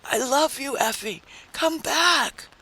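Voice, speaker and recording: A man voicing a fearful, desperate husband in a story reading, shouting and pleading. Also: very high-pitched, takes it slowly, voice pushed up, exaggerated voice, poor audio.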